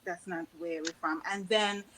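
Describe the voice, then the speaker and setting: A woman's voice: on the low side, fairly even intonation, normal speed, a bit bright, slight background noise, rough recording. A woman from Trinidad with a Trinidadian accent, a panel guest who talks thoughtfully with frequent ums and a light laugh. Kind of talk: conversational panel discussion.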